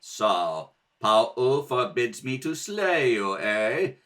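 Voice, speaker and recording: A man's voice: mid-range voice, very animated, drawn-out words, a bit bright, pitched below the performer's own voice, full character voice. A man playing a cruel, imperious space emperor in the manner of an old radio serial, menacing and commanding. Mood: sneering menace. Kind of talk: 1930s radio serial acting.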